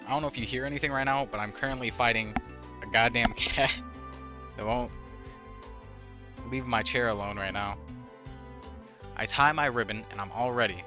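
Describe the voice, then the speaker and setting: A man's voice: mid-range voice, normal speed, pauses often, over music. A man reading visual novel text aloud with casual, wry commentary, sometimes stumbling over the words. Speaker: gaming YouTuber, host.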